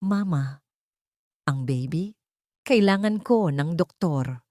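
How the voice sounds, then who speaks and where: A woman voicing a wronged, desperate young wife in an audio drama, pleading in a weak, tearful, hoarse voice. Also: deep voice, lively intonation, slow pace, darkish tone, dry recording, studio quality.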